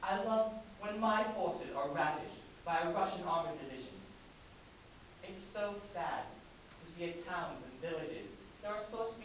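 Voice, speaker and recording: A man playing a fanatical, war-loving military commander in a grandiose, savoring theatrical monologue, addressing his battalion with deliberate oratory. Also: on the high side, close to an everyday voice. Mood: mock sorrow. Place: large echoing room, festival stage performance. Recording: echoey hall from far off, muffled, hissy.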